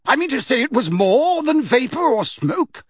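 A man reading aloud, voicing a stern older female judge who booms her questions slowly and emphatically. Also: big pitch swings, husky, dry recording, clean studio sound, exaggerated voice. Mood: probing. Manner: booming, slow and emphatic.

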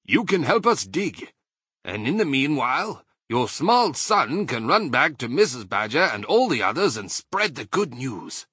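A man's voice: mid-range voice, very animated, a little slow, pushed and bright, slightly rough, no room sound, studio quality, voice pushed up, full character voice. A man voicing a sly, courteous fox father in a children's storybook, suave and savouring his words. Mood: conspiratorial.

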